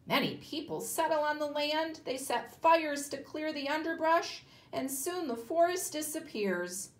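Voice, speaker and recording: A squawking storybook toucan, put on by a woman reading aloud, high, loud and stern. Pitch soars and dips widely, with a roomy echo around it. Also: expressive, unhurried. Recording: echoey room.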